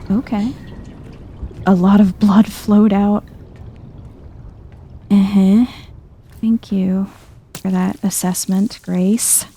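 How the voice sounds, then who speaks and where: Very soft, mellow woman, gently husky. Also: medium pitch, little pitch movement, extremely slow, long pauses. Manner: chill, musing to herself. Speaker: video game streamer. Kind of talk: soft-spoken ASMR let's play commentary.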